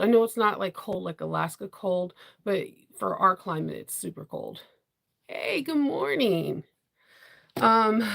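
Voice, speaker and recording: Congested woman host, chatty, rambling, gravelly edge. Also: medium pitch. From Washington DC area. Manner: chatty, rambling, laughs often. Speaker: sewing channel host. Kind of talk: casual live chat with viewers.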